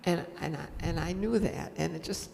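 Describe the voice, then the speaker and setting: A woman's voice: on the low side, moderate pace, gravelly, darkish tone. An American woman in her seventies or older telling a personal story to a group in short, plain-spoken phrases. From United States. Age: 70s or older. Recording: echoey hall, some background noise.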